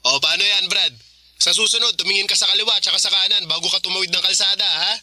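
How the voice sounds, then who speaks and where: A man's voice: high-pitched, fast, sharp tone, no echo. A man playing a cocky, teasing young boyfriend in a Filipino radio drama, smug and jokey.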